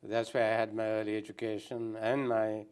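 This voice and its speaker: An older man from Sri Lanka speaks in a low, resonant voice. He answers interview questions reflectively, pausing often and restarting his sentences as he thinks. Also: level tone, a little mellow, clear, resonant tone. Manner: thoughtful, hesitant, many ums and restarts. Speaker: guest anthropologist.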